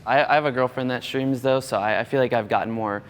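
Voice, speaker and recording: A man with a mid-range voice who talks quickly, casually and candidly. Long room reverb, with a faint low rumble behind him. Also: fairly even intonation. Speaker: pro gamer.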